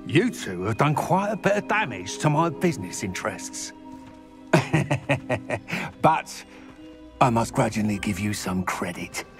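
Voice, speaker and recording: A sardonic, theatrical game villain with a creaky, gravelly voice. He drawls slowly and mockingly, savouring every threat, over prominent background music. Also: medium pitch, very animated. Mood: mocking menace. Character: menacing, smug criminal boss, sardonic and theatrical. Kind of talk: scripted video game villain acting.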